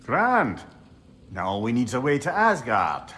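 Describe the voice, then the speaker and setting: An actor playing a jovial, learned old companion with a wry, hearty, wordy manner in a video game. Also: male voice, mid-range voice, huge pitch swings, measured pace, a bit bright. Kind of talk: video game cutscene acting.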